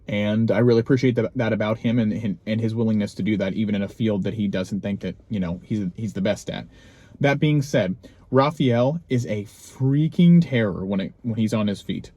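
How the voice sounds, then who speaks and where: A man's voice: on the low side, mellow. A young man hosting his own video, chatting casually outdoors in the snow, rambling with lots of ums and restarts. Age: young adult. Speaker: vlog host. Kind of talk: casual chat. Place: outdoors in snowy cold.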